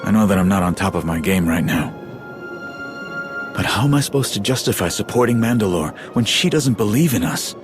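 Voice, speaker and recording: A man's voice: fairly low pitch, expressive, a little fast, close to an everyday voice. A man playing a disillusioned, loyal warrior in a video game cutscene, blunt and plain-spoken. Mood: troubled, subdued. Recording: loud music behind. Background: music.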